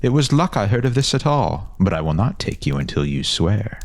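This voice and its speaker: A grandiose older boy, acted out by a male storyteller, lords it over his friends in a fantasy tale. The pitch is lifted well up, and the manner is formal, insistent and self-important. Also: sing-song, moderate pace, dry recording. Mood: insistent, solemn.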